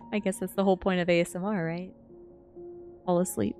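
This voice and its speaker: Low-pitched woman with creaky voice, ASMR reading. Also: fairly even intonation, brisk, a little mellow. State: sleepy. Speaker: streamer reading books aloud. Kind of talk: ASMR book reading and casual chat with viewers. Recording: music playing behind the voice. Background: music.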